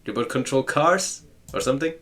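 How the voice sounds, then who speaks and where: A man's voice: mid-range voice, expressive, brisk, fairly bright tone. A man casually commenting while playing a visual novel, playful and sarcastic, joking back at the story text.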